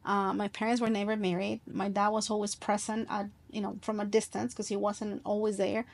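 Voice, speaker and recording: A middle-aged woman from Colombia speaks as a guest on an interview podcast. She talks in an earnest, reflective way, often restarting her sentences as she thinks. Her voice sits at an ordinary mid pitch. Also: level tone, brisk, vocal fry.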